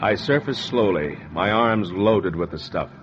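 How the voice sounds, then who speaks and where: Deep-voiced, hard-boiled salvage diver. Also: male voice, animated, a little slow, soft background music. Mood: brooding narration. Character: tough, cool-headed salvage diver narrating his own adventure. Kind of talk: 1940s radio acting, first-person narration and dialogue. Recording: muffled, very noisy 1940s radio recording.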